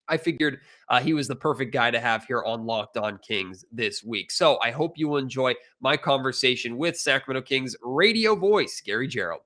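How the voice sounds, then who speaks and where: A man's voice: mid-range voice, bright, forceful tone. An American man hosting a sports podcast, talking as a reporter and interviewer.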